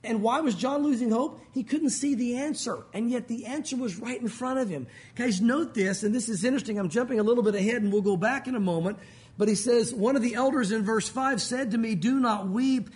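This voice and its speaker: A male pastor with a loud voice, preaching in a conversational, emphatic way. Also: very high voice, fairly quick. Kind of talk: sermon.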